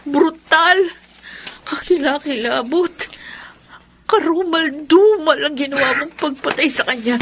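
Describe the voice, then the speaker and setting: A woman's voice: high-pitched, a little slow, a bit bright. A Filipino actress playing a frightened, reproachful servant woman in a radio drama, plaintive and anxious. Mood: horrified, reproachful.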